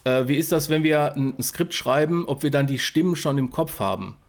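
A man's voice: a little high, a little fast. A man hosting a casual interview, feeling his way through his sentences with self-corrections. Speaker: podcast host and interviewer.

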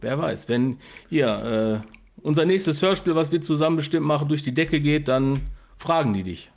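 A man's voice: a little high, expressive, moderate pace, gravelly, darkish tone. A man, chatting casually as a podcast co-host and interviewer. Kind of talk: casual chat.

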